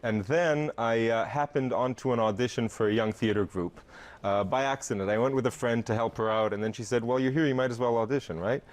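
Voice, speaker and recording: A middle-aged man who grew up in Israel, a theater performer answering interview questions, pausing often with fillers. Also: mid-range voice. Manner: warm, hesitant with many fillers. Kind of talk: TV interview guest.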